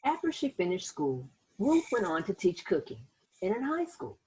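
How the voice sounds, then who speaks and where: A woman reading a children's picture book aloud as host, in an expressive storytelling manner with little asides to young listeners. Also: mid-range voice, normal speed, husky, poor audio.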